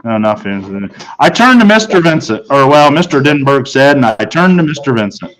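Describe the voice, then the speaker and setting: A man's voice: mid-range voice, very animated, moderate pace, fairly bright tone, low-quality recording. A man reading a children's novel aloud as a teacher, narrating the story.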